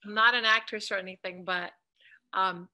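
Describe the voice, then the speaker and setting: A woman's voice: medium pitch, fairly even intonation, moderate pace, bright, forceful tone. A woman from America, chatting casually and a little hesitantly as a workshop participant. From Latin America. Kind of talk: casual chat.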